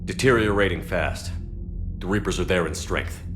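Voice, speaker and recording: Guy acting tired resolute army commander in a video game, lowish voice, faint music behind. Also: normal speed, lightly acted. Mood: grave, regretful. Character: battle-weary resolute male military commander.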